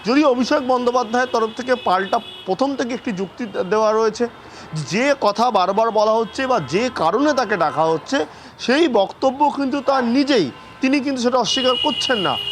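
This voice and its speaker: A man's voice: extremely high pitch, slight background noise. A man with a West Bengal accent giving an unscripted live field report in an emphatic, repetitive manner.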